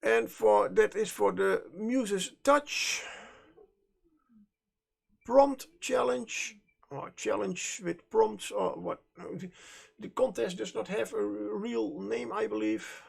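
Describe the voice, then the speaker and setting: Dutch accent, native Dutch speaker, heavy vocal fry, slow pace, hesitant and rambling, self-correcting, casual livestream talk. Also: mid-range voice, lively intonation. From Netherlands. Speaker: livestream host and miniature painter. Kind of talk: casual livestream chat while painting.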